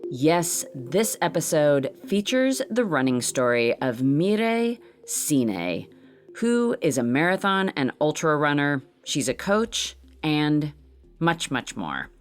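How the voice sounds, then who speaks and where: A woman with a low voice hosts a podcast, reading her introduction from a script. Her pitch moves a lot, rising and falling with emphatic pauses. She sounds earnest. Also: sing-song, faint music behind. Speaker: podcast host and producer.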